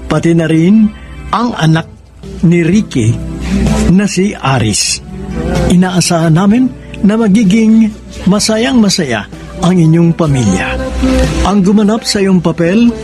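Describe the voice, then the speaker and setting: Radio drama host: Filipino man, higher-pitched voice, formal measured scripted delivery over clear background music. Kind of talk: scripted radio drama hosting, reading letter reply and credits.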